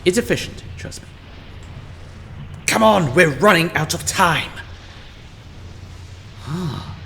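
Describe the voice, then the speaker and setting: A man's voice: a little high, lively intonation, vocal fry, sharp tone, a little hiss or hum, exaggerated voice. A man playing a scheming, self-important male time-meddler in a fan audio drama, speaking with sardonic impatience. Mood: impatient. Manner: sardonic and impatient, slow deliberate delivery.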